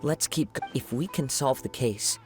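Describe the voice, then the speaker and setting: An actor playing a calm, courteous young male samurai investigator in a video game, speaking in measured, formal phrases. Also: mid-range voice, faint music behind, natural voice. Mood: calm, reasoning. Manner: measured, polite, formal wording.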